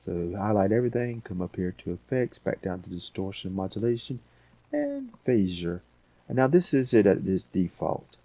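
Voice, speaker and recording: A man hosting a casual software tutorial, talking informally and thinking aloud as he goes. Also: low-pitched, very animated, normal speed, no echo. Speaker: audio editing tutorial host.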